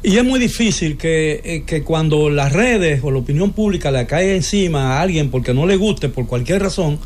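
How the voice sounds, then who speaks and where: A man's voice: fairly high pitch, animated, moderate pace, a little mellow. A man debating politics on a talk show, hesitating with many filler sounds and restarts, often asking for agreement. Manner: opinionated, asks listener for agreement.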